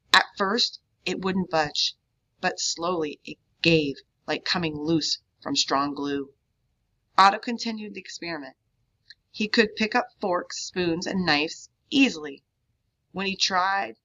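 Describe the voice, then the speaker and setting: A woman reading a children's novel aloud in an expressive storybook narration style, on a dull, lo-fi recording. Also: low voice. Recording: dull, lo-fi sound.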